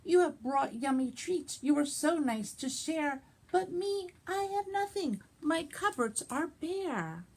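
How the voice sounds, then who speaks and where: A woman voicing a gentle, fretful storybook bear. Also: high voice, lively intonation, takes it slowly, few pauses, pitched above the performer's own voice, full character voice. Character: grateful, sheepish male bear.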